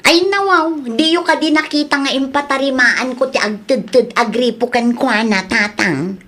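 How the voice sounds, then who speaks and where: A woman's voice: high-pitched, normal speed, fairly bright tone. A woman with an Ilocano accent acting a giddy young woman who is smitten with her neighbor, talking in a playful, teasing way. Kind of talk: radio drama acting.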